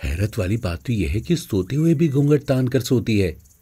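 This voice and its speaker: Man playing a puzzled, husky young husband. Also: mid-range voice, big pitch swings, moderate pace, dark tone. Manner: wondering, musing.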